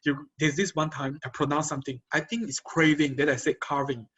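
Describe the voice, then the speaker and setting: A young man from Johor Bahru with a Malaysian English accent, chatting casually in a halting way with lots of repeats and laughs. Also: fairly high pitch, level tone, fairly bright tone, slightly rough, muffled recording. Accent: Malaysian Chinese English. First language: Chinese. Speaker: guest. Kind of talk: casual chat.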